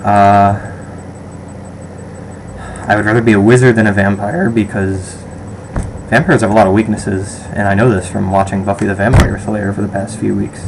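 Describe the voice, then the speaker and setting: A man's voice: fairly low pitch, little pitch movement, moderate pace, pauses often, background noise. A young man with a western New York American accent rambles casually through a survey vlog, full of ums and restarts. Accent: western/upstate New York American. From western New York, USA. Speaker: vlogger.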